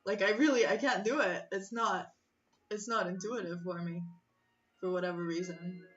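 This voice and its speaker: A woman's voice: medium pitch, fairly even intonation, moderate pace. A woman whose first language is Russian, chatting casually and thinking aloud while solving chess puzzles, often interrupting herself and laughing. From former USSR. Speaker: chess streamer. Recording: slightly distant, muffled mic with faint music. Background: faint music.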